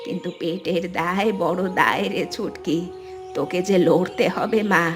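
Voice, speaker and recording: A woman's voice: fairly low pitch, moderate pace, natural voice. A woman playing a resigned, bitter old village grandmother in a melodramatic cartoon, speaking in a grave, consoling way. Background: loud music.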